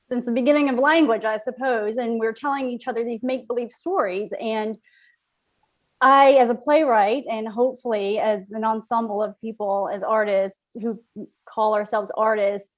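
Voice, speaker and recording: A woman speaking as a playwright in an interview, thinking aloud in a halting, reflective way with rhetorical questions. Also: fairly high pitch.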